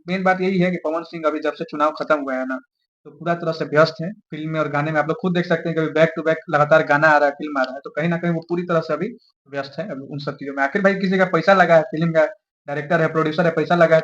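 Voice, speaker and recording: A man's voice: fairly high pitch, fairly bright tone, lo-fi sound. A man with a Bihari accent answering interview questions informally, making his points emphatically and repeating them. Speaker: interview guest commenting on film-star news.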